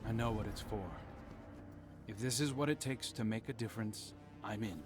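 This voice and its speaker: A man's voice: fairly low pitch, normal speed, music in the background, close to an everyday voice. A man playing an earnest, guarded young rogue scout in a video game cutscene, with an understated, casual delivery. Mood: guarded, resolved.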